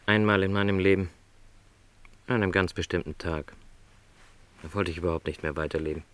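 An actor playing a lonely middle-aged travelling salesman in a radio play, a gentle, resigned dreamer with a reflective, apologetic way of talking. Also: deep voice, steady tone, brisk, clean tone. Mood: resigned, thoughtful. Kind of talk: literary radio play dialogue.

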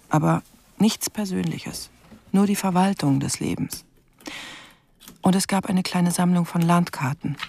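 A terse investigator in a radio crime drama, with a creaky, gravelly voice. The delivery is clipped and musing, like private notes spoken aloud, over slight recording noise. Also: medium pitch. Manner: clipped lists, musing questions. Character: terse, probing investigator going through a dead man's things. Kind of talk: radio crime drama, first-person narration.

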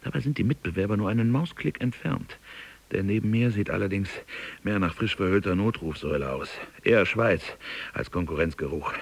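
A man's voice: lowish voice, a little fast, vocal fry, slightly rough. An actor playing a world-weary, sardonic businessman musing ironically to himself in a radio drama. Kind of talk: radio drama interior monologue.

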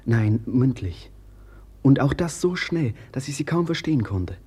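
An actor playing Kolya, an eager young messenger lad, in a 1950s radio play. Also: male voice, mid-range voice, sing-song, a little slow, mellow, slightly rough, full character voice. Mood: hurried.

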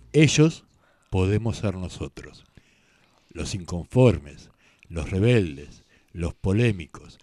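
Male co-host, Rioplatense Argentine, mellow tone with lively pitch movement, casual radio delivery. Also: no room sound. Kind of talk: casual radio banter and recitation.

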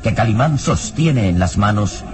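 A man's voice: on the low side, expressive, measured pace, noisy background. A man narrating a vintage radio drama in a declamatory, suspenseful scripted style. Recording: vintage radio broadcast under loud music. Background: loud dramatic music.

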